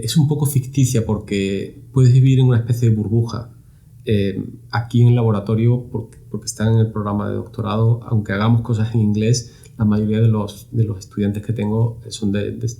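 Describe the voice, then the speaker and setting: A man's voice: on the low side, creaky, mellow. A middle-aged man from Spain, a guest answering interview questions in a reflective way, often repeating words as he searches for phrasing. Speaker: university lab director, guest.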